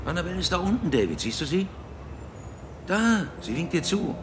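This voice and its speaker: Radio play cop: highish, animated voice, impatient, calling out orders over faint street noise. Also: male voice, moderate pace. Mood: coaxing. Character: hard, impatient policeman coaxing and threatening.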